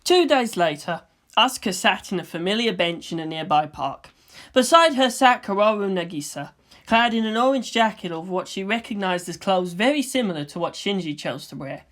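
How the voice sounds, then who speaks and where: A woman's voice: mid-range voice, animated, normal speed, fairly bright tone. A woman narrator reading fan fiction as scripted storytelling.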